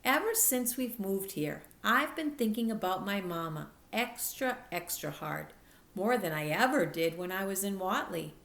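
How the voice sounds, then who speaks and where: A woman's voice: mid-range voice, lively intonation, normal speed, vocal fry, a bit bright, echoey room. A woman voicing an earnest, lonely ten-year-old girl who confides and pleads emphatically in a storybook. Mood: wistful.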